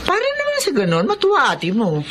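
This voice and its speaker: An actress playing a gentle, caring middle-aged mother in a Filipino radio drama, soothing and motherly. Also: sits high, huge pitch swings, brisk, a little hiss or hum, exaggerated voice.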